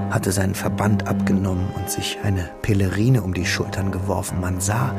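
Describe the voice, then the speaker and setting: An actor narrating a literary radio drama as a reflective, wistful man looking back on his schooldays, measured and solemn. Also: lowish voice, gravelly. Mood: solemn, hushed.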